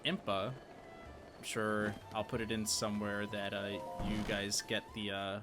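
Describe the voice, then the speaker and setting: A man's voice: lowish voice, flat delivery, measured pace. A man giving casual game walkthrough commentary as the host of a gaming video. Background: game music.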